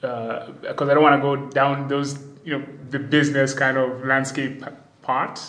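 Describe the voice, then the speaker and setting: A man's voice: mid-range voice, little pitch movement, moderate pace, a bit bright, roomy sound. A man hosting a casual interview, chatty and teasing, with frequent restarts. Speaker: podcast host.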